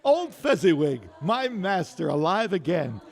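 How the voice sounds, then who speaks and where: An actor playing an anguished, remorseful old miser in an exclamatory, drawn-out radio drama style. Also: male voice, high-pitched, big pitch swings, creaky, full character voice. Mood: delighted nostalgia. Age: older. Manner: emotional, exclamatory, drawn-out delivery. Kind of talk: 1940s radio drama acting.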